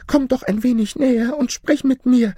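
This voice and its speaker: Frightened, very high-pitched storybook goose. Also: female voice, normal speed, dark tone, slightly rough, dry recording, clean studio sound. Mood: agitated storytelling. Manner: anxious, dramatic fairy-tale delivery. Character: frightened, breathless female goose telling her tale.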